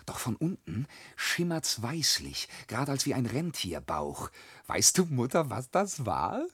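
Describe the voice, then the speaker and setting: An actor playing a young boastful peasant son who spins wild tales in a classic radio play, swinging from loud to hushed. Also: male voice, mid-range voice, wildly swooping pitch, unhurried, husky, heavily acted. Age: young adult.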